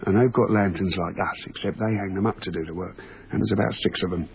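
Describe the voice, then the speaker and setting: A British railway night worker in a radio play, matey and given to musing. His voice sits low, and the recording is narrow and tinny with clear noise over it. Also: fairly even intonation, quick pace. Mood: amused storytelling. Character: chatty, anecdote-loving British railway tunnel night worker, uneasily fascinated. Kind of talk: naturalistic radio drama acting.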